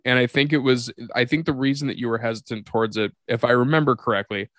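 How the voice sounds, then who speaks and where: A man's voice: mid-range voice, steady tone, fast talker, fairly bright tone, no room sound. A man hosting a podcast in a casual, informal chat, swearing freely and repeating phrases for emphasis. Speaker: podcast host.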